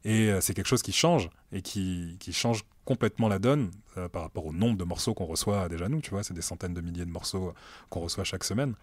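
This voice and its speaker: A man in his late thirties speaks with a low, deep voice, calm and reflective, with frequent pauses as he works through his ideas. The sound is close and dry, with no room echo. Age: late 30s. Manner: relaxed, thoughtful, many hesitations. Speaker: music director at a streaming platform, guest. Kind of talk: casual conversational interview. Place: meeting room at the streaming company's office.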